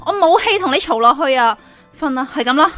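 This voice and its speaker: A woman's voice: high-pitched, expressive, moderate pace, over loud music. A woman acting in a Cantonese radio drama as a conflicted 24-year-old teacher, with a Hong Kong accent. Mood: defensive, indignant. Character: 24-year-old female teacher, engaged, conflicted, secretly involved with student.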